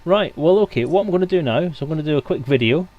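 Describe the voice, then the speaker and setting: A man's voice: on the high side, expressive, fast talker, phone-quality sound. A man hosting a livestream with casual, jokey banter. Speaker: stream host.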